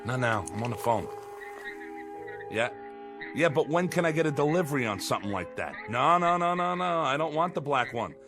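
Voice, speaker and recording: Man playing a brash, impatient gambler, lively delivery. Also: medium pitch, normal speed, faint music behind, natural voice. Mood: excited, insistent. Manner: bossy, wisecracking, slangy. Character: brash, impatient schemer, a cocky racetrack gambler. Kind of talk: 1960s television drama acting.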